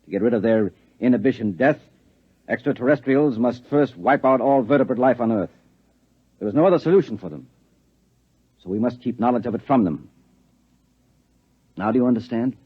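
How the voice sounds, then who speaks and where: An actor playing a stern, insistent husband with grim secrets in a 1950s radio drama. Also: male voice, mid-range voice, brisk, long pauses, breathy edge, lightly acted. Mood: grim, persuasive. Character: hard, controlling government husband with grim secrets. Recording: dull, lo-fi 1950s radio recording.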